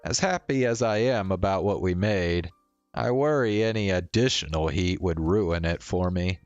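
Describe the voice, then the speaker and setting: A man reading a visual novel aloud as first-person narration, in a measured, conversational way. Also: sits low, smooth, no room sound, highs cut off, faint music behind. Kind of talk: first-person story narration with acted dialogue.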